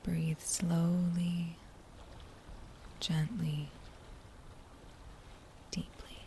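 A woman guiding a body scan meditation in a soft, breathy near-whisper, with a gentle, soothing manner and unhurried phrases. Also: fairly low pitch, level tone. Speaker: meditation guide. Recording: faint background noise.

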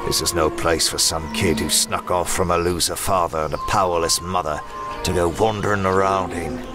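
An actor playing a grim, cynical swordsman with a gruff, curt, scornful manner in a comic dub. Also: sits low, steady tone, moderate pace, music in the background, close to an everyday voice. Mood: stern, dismissive. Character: grim, cynical, battle-hardened male swordsman, harsh and blunt. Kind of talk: dramatic comic dub acting.

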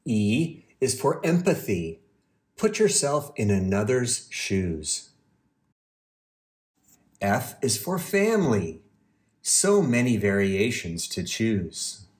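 A man reading a children's storybook aloud with measured, deliberate phrasing. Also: medium pitch. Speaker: university president, guest reader.

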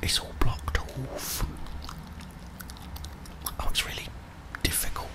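A young man giving whispered ASMR game commentary in a breathy voice, murmuring short remarks to himself and his viewers. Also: slow pace. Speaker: gaming ASMR host. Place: small indoor room with plain wall.

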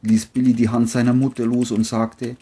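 A narrator reading a scripted crime audio drama in a mellow, husky voice. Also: medium pitch, little pitch movement, normal speed.

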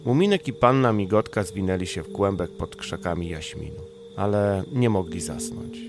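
A man narrates a children's bedtime story in a fairly low voice with noticeable creak. Music plays clearly behind the storytelling. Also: animated, moderate pace. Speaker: audiobook narrator.

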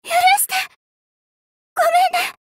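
A woman's voice: a little slow, dry recording, studio quality, lightly acted. A woman voicing a timid, apologetic young girl warrior in a fighting game, meek and pleading. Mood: pleading, distressed. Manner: meek, pleading, breaking into cries and battle grunts. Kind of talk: anime fighting game voice acting.

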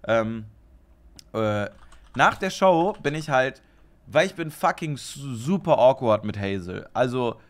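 A man's voice: medium pitch, animated, slow pace. A young man co-hosting a podcast in casual chat, rambling with lots of fillers, swearing often and laughing easily. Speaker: podcast co-host.

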